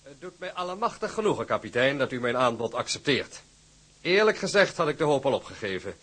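An actor playing a genial, businesslike company director in a radio drama, formal, courteous and hearty. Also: male voice, mid-range voice, big pitch swings, a little fast, fairly bright tone, breathy edge. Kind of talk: Dutch radio drama acting.

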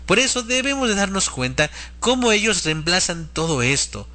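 A man's voice: high voice, big pitch swings, moderate pace, sharp tone. A man speaking as a religious host and preacher, in a didactic devotional style addressed to his listeners. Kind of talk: devotional teaching talk and prayer.

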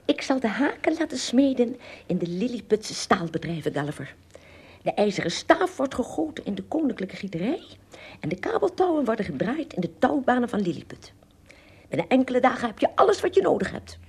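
High husky voice, tiny proud king, lively swooping intonation, earnest, confiding, radio play. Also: brisk, full character voice. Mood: earnest, commanding. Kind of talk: Dutch radio play acting.

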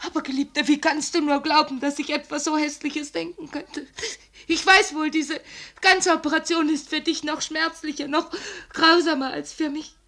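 An actress playing a tender, desperate abandoned mistress in a 1950s German radio drama, halting, tearful and pleading into a telephone. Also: female voice, high voice, level tone, pushed and bright.

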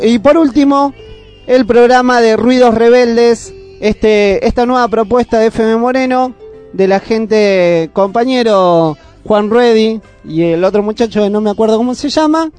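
A man's voice: sits high, darkish tone. An Argentine man co-hosting a community radio show in a casual presenting style. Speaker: radio co-host.

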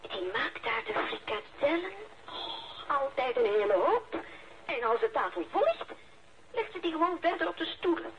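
A fussy, apologetic woman in a radio drama, who rambles and hesitates. Her voice is on the high side and swoops wildly up and down. The sound is dull and lo-fi. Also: big pitch swings, normal speed. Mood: flustered. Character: flustered, apologetic female neighbour lodging a fussy complaint. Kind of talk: Dutch-language radio drama acting. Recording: dull lo-fi radio play recording.

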